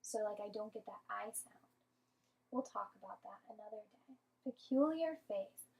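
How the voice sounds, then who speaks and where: A woman teacher giving an interactive storybook read-aloud to young children, expressive and patient, often asking and explaining. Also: medium pitch, normal speed, creaky, rough recording.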